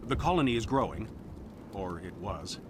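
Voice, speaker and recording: Man as low-voiced, grim space colonist. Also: lively intonation, normal speed, noisy background, close to an everyday voice. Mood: grim, frustrated. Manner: terse, guarded, grim. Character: weary, gruff male space colonist and hunter.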